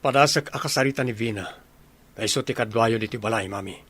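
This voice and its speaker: A man's voice: lowish voice, fast talker, fairly bright tone. A man playing an earnest, dutiful grown son in a radio drama, with an Ilocano accent.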